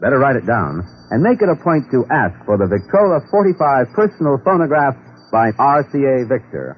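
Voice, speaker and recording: Animated vintage radio ad announcer, male. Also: medium pitch, fairly quick, slightly rough. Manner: polished, salesmanlike. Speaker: commercial announcer. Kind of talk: vintage scripted radio advertisement. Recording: dull old radio recording, faint noise.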